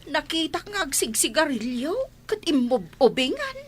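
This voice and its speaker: A woman's voice: high voice, sing-song, moderate pace, crowd noise. A woman playing a gossiping village neighbor in a radio drama. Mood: gossipy.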